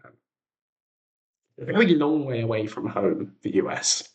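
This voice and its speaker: A man's voice: mid-range voice, big pitch swings, unhurried. A man chatting casually on a livestream as its host, rambling and self-deprecating, in an echoey untreated room. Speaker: livestream host and audio drama creator. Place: echoey room at home, makeshift streaming setup.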